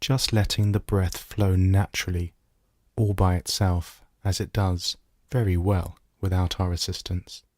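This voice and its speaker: A man guiding a body scan meditation as a teacher, giving gentle, measured instructions with pauses. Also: sits low, little pitch movement, dry recording, clean studio sound.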